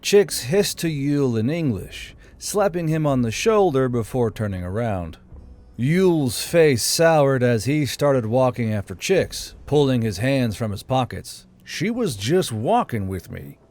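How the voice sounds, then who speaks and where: A man's voice: mid-range voice, expressive, unhurried, a little mellow. A man reading scripted fantasy fiction aloud as an audiobook narrator.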